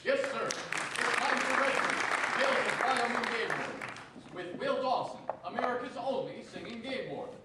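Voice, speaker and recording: A man's voice: very high-pitched, expressive, normal speed, over loud music, a loud crowd. A young man playing an upbeat, grand old-time radio announcer and narrator on stage. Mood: excited. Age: young adult. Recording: very echoey theater, distant mic, faint noise.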